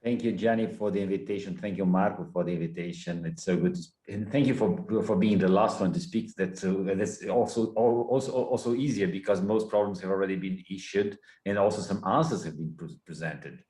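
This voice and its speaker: A man from Brazil addresses a conference in a fairly low voice. He is courteous and a little apologetic, often restarting words. The sound is noticeably roomy and echoey. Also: fairly quick, hardly any pauses, warm tone. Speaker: panel speaker, professor. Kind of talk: conference talk.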